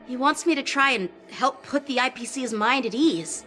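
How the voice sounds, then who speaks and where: A woman's voice: high voice, animated, normal speed, bright, forceful tone, faint music behind. An actress playing a practical young female officer who talks in a casual, friendly way. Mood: earnest. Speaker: military officer. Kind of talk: game voice acting.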